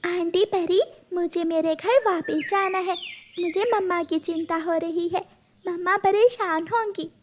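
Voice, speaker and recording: Scared little cartoon sparrow girl, squeaky and weepy, a woman puts her on. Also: steady tone, measured pace, a little hiss or hum. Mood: pleading. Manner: tearful, pleading.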